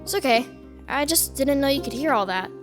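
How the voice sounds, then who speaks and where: Woman playing a high-voiced kid. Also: expressive, fairly quick, over music. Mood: shyly tender. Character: earnest small-town country kid, artistic, bold and shyly smitten. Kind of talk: audio horror drama acting.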